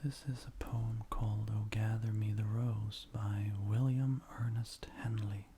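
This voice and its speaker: A man with a soft, breathy, whispering voice, reading poetry gently in an unhurried, measured ASMR style. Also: lowish voice, little pitch movement. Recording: faint background noise.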